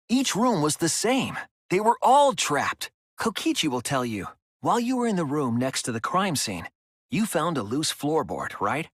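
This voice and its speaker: A man playing an earnest young sleuth in a dramatic game, reasoning with deliberate emphasis and compassion. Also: on the high side, fairly bright tone, slightly rough, dry recording, studio quality, exaggerated voice. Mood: urgent. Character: earnest young male sleuth reasoning through a murder trial. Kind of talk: dramatic game-dub acting.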